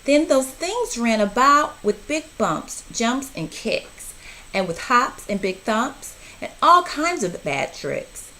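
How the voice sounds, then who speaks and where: A woman reading a rhyming storybook aloud to children with expressive, rhythmic, deliberate phrasing. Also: a little high, poor audio.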